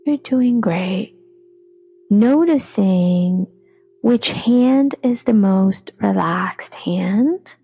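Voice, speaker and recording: A woman leading a guided relaxation meditation in a soothing, unhurried way, with phrases trailing off breathily. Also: medium pitch, lively intonation, mellow, breathy edge, no echo, rough recording. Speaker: yoga nidra meditation guide. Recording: voice over background music.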